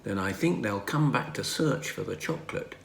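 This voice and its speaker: A man's voice: fairly low pitch, animated, normal speed, creaky. A man voicing a thoughtful, observant boy in a children's storybook, earnest and emphatic. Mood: wary. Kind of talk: children's storybook character reading. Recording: roomy home recording, faint noise.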